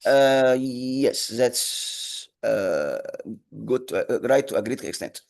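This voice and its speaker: A man whose first language is Arabic, teaching an online programming class, halting with many fillers and restarts. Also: medium pitch, animated, vocal fry, a little mellow. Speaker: instructor. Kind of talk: online lecture.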